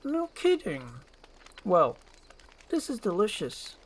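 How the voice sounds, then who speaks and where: A reader plays a young fairy-tale princess. Her voice is raised in pitch, gentle and polite, with a concerned air. A faint noise runs underneath. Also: huge pitch swings, takes it slowly. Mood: pleased, curious.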